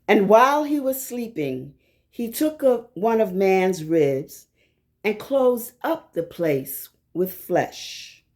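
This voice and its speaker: A woman reading scripture aloud from a text in a measured, deliberate manner, pausing between short phrases. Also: medium pitch, warm tone. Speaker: scripture reader.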